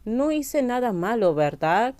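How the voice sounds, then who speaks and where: Woman voicing an insecure, feisty young man, mellow and smooth. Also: fairly high pitch, big pitch swings, unhurried, no room sound. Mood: anxious.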